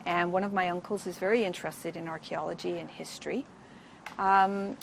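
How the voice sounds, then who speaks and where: A woman in her sixties with a Canadian accent, a volunteer answering interview questions in an earnest, thoughtful way with frequent pauses. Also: mid-range voice, a little hiss or hum. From Wales. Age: 60s. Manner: earnest, thoughtful, many ums. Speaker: dig volunteer. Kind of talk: on-camera interview.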